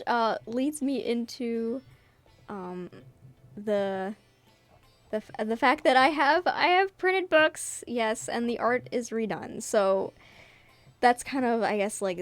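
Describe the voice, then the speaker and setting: A woman's voice: on the high side, no echo. Giggly, rambling woman over background music. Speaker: webcomic creator reading own comic. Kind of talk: casual commentary and chat.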